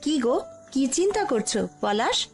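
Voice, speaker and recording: A woman's voice: sits high, big pitch swings, moderate pace, darkish tone, soft background music. A woman voicing a confident, persuasive village woman in a cartoon family drama, emphatic and coaxing. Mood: reassuring. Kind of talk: dramatized cartoon story dialogue.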